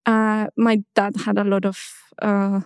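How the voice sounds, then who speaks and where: A woman in her thirties from Mexico, a guest in a casual interview, who talks hesitantly with many restarts and soft laughs. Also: medium pitch, completely flat delivery, unhurried, clear, resonant tone, dry recording, clean studio sound.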